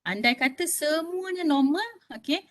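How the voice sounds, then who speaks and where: A woman's voice: sits high, animated, moderate pace, a bit bright. A woman in her fifties with a Malaysian Malay accent, giving a health talk to an audience in a conversational way and often checking in with "okey?". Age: 50s. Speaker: health talk speaker, doctor.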